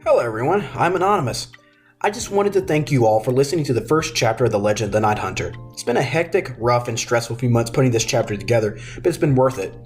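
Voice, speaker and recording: A man's voice: mid-range voice, expressive, fast. A man giving a scripted thank-you to listeners as the show's creator, with music behind him. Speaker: show creator giving outro.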